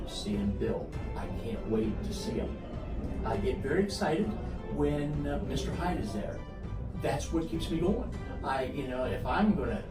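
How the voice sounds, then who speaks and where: Earnest rambling man in his sixties. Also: medium pitch. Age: 60s. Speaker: martial arts student. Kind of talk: interview answer. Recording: roomy, distant mic, music underneath.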